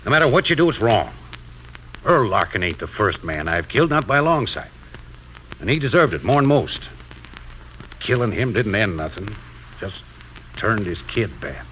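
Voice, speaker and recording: Frustrated American Western lawman, folksy, animated delivery, slightly noisy old radio sound. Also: lowish voice, normal speed, pauses often. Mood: frustrated, anguished. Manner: folksy, emphatic. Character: troubled, frustrated Western sheriff and father. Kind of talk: 1950s radio Western acting. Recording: noisy 1950s radio broadcast.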